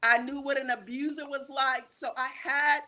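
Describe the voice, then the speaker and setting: A woman giving personal testimony as an interview guest, speaking emphatically and deliberately with dramatic pauses. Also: high voice, fairly even intonation, bright, forceful tone. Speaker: guest, stage play writer and director.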